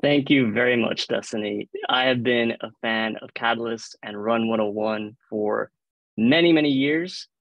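A man's voice: medium pitch, moderate pace, no room sound, highs cut off. A man with a Cuban accent moderating a panel over a video call, formally reading out prepared introductions. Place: indoor room on a video call. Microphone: video call microphone.

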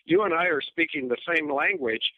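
An older man with an Upper Midwest American accent, a guest calling in on a cell phone, speaking emphatically and deliberately with mocking asides. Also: on the high side, expressive, no room sound. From United States. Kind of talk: phone-in interview. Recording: tinny cell phone line.

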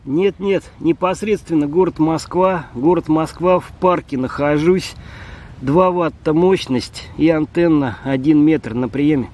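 A man in his fifties talks as a ham radio operator out in the open. His voice is fairly high and very mellow, with a friendly, casual delivery. A faint outdoor rumble sits behind him. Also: unhurried. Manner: friendly, spells call signs with phonetic words. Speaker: amateur radio operator and vlogger. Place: outdoors in a city park.

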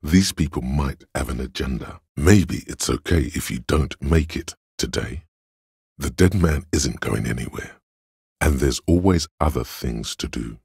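A man voicing a detective's coolly analytical inner voice of logic in a video game, gravelly and husky, with measured, deliberate delivery. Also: rumbling low, darkish tone, dry recording, studio quality, heavily acted. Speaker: game voice actor. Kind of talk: scripted video game narration.

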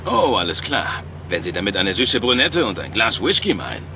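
A man's voice: fairly low pitch, big pitch swings, moderate pace, background noise. Wry, cocky male race driver. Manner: wry, irreverent. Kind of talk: video game voice acting in German.

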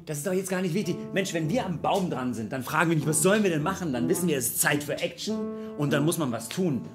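A man's voice: fairly high pitch, animated, quick pace, music in the background, close to an everyday voice. A man playing a pushy, plain-spoken lumberjack in an improvised comedy radio play, blunt and hectoring. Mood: urging. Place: dark curtained theatre stage. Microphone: stand microphone.

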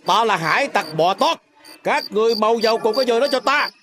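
A man's voice: very high-pitched, expressive. A man voicing a blustering bull pirate villain in a cartoon, bossy and threatening. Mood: menacing, demanding. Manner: bossy, drawn-out threatening delivery. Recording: loud music behind the voice.

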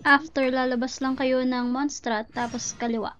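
A woman's voice: high voice, level tone, normal speed, a bit bright, highs cut off. A woman with a Filipino accent giving casual commentary on a game she is playing. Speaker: gaming video host. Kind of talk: casual game commentary mixing Tagalog and English. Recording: game music behind the voice. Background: game music.

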